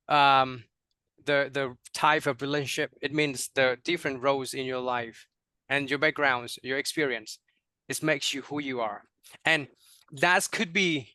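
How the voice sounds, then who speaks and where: Young Vietnamese-accented male teacher trainer on a video call; dry close sound, halting delivery with frequent "you know". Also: mid-range voice, bright, forceful tone, clear, resonant tone, no echo. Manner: many fillers and repeated words, checks in with "right?". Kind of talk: online workshop presentation.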